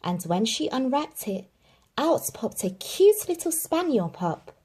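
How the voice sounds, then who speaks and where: Husky, lively woman reading a children's story. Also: high voice. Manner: expressive storytelling with dramatic pauses. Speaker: narrator. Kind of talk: reading a children's picture book aloud.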